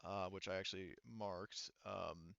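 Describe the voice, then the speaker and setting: A man running a tabletop game as game master, narrating casually in an informal, halting manner. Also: low voice, level tone, creaky, a bit bright, dry recording. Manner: informal, halting, sometimes profane. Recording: dull, muffled lo-fi sound.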